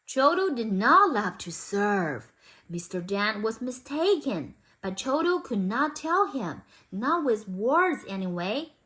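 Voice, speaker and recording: A woman reading a storybook aloud expressively for toddlers, in simple deliberate phrases. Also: a little high, sing-song, fairly bright tone. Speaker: storybook reader.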